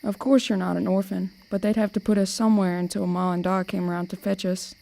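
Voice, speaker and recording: Young woman as a creaky-voiced bossy big sister. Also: mid-range voice, little pitch movement, quick pace, dark tone, natural voice. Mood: matter-of-fact. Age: teenager or young adult. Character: bossy, playful, protective older sister. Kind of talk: amateur radio play acting.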